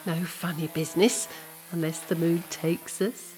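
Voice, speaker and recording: A woman's voice: fairly low pitch, fairly even intonation, measured pace, a little mellow, soft background music, close to an everyday voice, rough recording. A middle-aged woman playing an earnest, exasperated wife in a comic audio play, speaking in an emphatic, insistent way. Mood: calm. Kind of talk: two-hander comic audio play dialogue.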